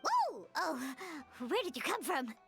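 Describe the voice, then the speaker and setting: A woman voicing a small, childlike, bubbly fairy companion in a video game, excitable and full of exclamations. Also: high voice, slow, creaky, soft background music, exaggerated voice. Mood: startled. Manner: speaks of self in third person, exclaiming.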